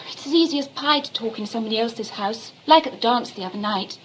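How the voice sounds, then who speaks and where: High, impetuous young English daughter, played by a woman. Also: lively intonation, brisk, a little hiss or hum, natural voice, low-quality recording. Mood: awkward, frank. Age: young adult. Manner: frank, gushing, upper-class airs. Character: candid, impetuous young daughter of a bohemian family. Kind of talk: radio play comedy acting.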